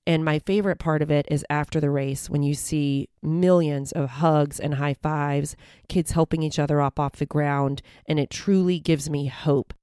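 Bubbly podcast lady, bit hoarse today, low voice, super dry close mic. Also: dark tone, dry recording. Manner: bubbly and encouraging. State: slightly hoarse. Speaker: podcast host. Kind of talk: upbeat self-help podcast talk.

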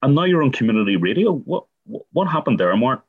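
A man's voice: mid-range voice, big pitch swings, fast, slightly rough, narrow, tinny audio. A man with a Northern Irish accent hosting community radio and interviewing in a conversational style. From Northern Ireland.

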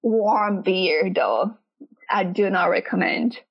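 Brazilian woman interviewee, non-native English, vocal fry, thoughtful and searching. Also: medium pitch, slow pace, highs cut off. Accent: Brazilian Portuguese. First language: Portuguese. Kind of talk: casual interview chat.

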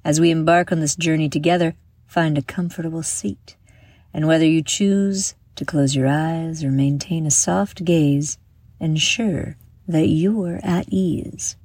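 A woman guiding a meditation from a script in a soothing, measured manner, with breathy exhaled phrases. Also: low voice, steady tone, darkish tone, no room sound. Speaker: meditation guide and host. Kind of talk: guided meditation, scripted narration.

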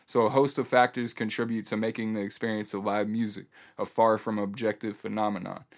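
A man's voice: on the low side, level tone, fairly quick. A man hosting a podcast solo, speaking casually in a laid-back way with hip-hop slang and sometimes reading passages out. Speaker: podcast host.

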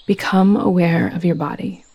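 Slow, low-voiced woman guiding meditation. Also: lo-fi sound. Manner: soothing, gentle, unhurried instruction. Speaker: yoga nidra guide. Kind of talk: guided meditation.

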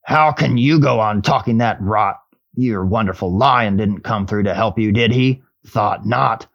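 Surly storybook dwarf, acted by a male reader: gruff, scornful, stubborn, on slightly dull audio. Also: lowish voice, measured pace, fairly bright tone, exaggerated voice. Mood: indignant. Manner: grumbling, scornful, colloquial.

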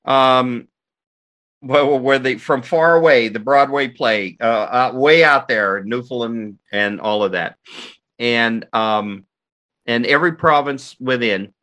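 An older man from the United States, a guest recalling his story in conversation, talking in energetic bursts with frequent restarts. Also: medium pitch, slow pace. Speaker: guest, co-founder of an institute. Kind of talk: conversational recollection.

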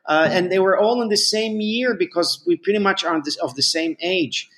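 A middle-aged man from Lebanon, a rabbi answering interview questions in a measured, halting way with many restarts. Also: sits high, a bit bright.

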